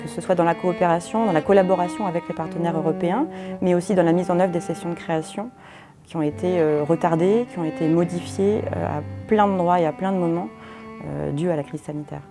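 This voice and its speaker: A woman, a project coordinator, calmly recounting how a project adapted over time in an interview. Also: fairly low pitch, brisk. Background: guitar music.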